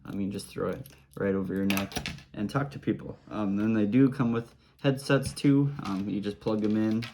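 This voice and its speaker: A man's voice: lowish voice, steady tone, normal speed, mellow, slight background noise. A man giving a casual product demonstration, explaining simple steps in an easygoing, informal way. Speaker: product reviewer.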